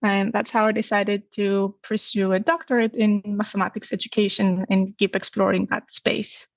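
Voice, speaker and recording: A woman's voice: mid-range voice, level tone, moderate pace, creaky, dry recording. A woman from Greece with a Greek accent, telling her own story conversationally as an interview guest. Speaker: podcast guest, academic researcher.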